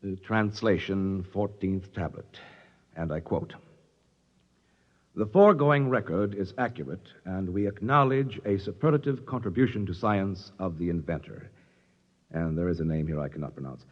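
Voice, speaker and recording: Low-voiced, stuffy corporate official. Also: male voice, lively intonation, normal speed. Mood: solemn reading. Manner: formal, officious, stammering. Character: flustered, stuffy male corporate official defending himself. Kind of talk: 1950s radio drama acting. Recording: dull, reverberant 1950s radio recording.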